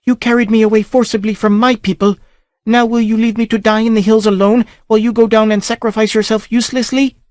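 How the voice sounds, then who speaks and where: Male reader doing a high-pitched commanding young queen for a storybook reading, urgent tone, dry sound. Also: level tone, fast talker, a little mellow, dry recording, lightly acted. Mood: pleading. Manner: imperious, urgent. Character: proud young royal woman, commanding.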